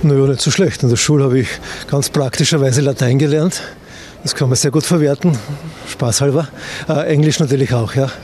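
A man answering a street interview in a relaxed, wry way. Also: mid-range voice, a little fast, slight background noise. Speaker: passer-by. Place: outdoors on a city street.

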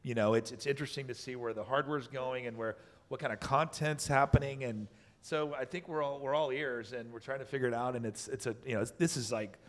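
Male panelist, mid-pitched, loose and meandering delivery, heard in a ringing room. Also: steady tone, fairly quick. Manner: rambling, often rephrasing. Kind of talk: casual panel talk. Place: indoor event space before a small audience. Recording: reverberant room, panel event.

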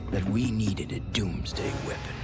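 A man's voice: fairly low pitch, measured pace, natural voice. An actor playing a fanatically loyal rebel soldier, telling his story in a grave, devoted way for a video game. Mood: grave, ominous. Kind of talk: scripted game story narration. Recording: loud music behind the voice.

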